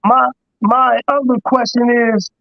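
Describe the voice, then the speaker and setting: A man's voice: extremely high pitch, little pitch movement, takes it slowly, gravelly, no room sound, highs cut off. A man in his fifties asking questions on a video call, hesitant and restarting his sentences. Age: 50s. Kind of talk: casual live-stream chat.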